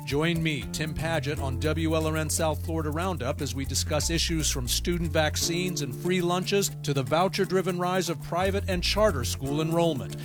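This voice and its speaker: A man reading a scripted radio promo in a smooth announcer style. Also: a little high, moderate pace, few pauses, music in the background. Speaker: radio host doing a promo.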